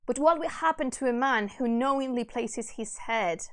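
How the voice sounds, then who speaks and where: A woman's voice: fairly high pitch, normal speed, fairly bright tone. Woman reading as a grave, stately old king. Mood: grave, tender. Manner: stately, earnest, formal. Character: old king and anxious loving father, noble and grave.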